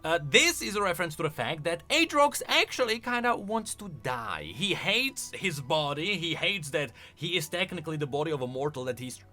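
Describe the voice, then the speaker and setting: A man's voice: on the high side, big pitch swings, moderate pace, hardly any pauses, pushed and bright, faint music behind. A man hosting a gaming lore video, giving casual, conversational commentary with plenty of emphasis.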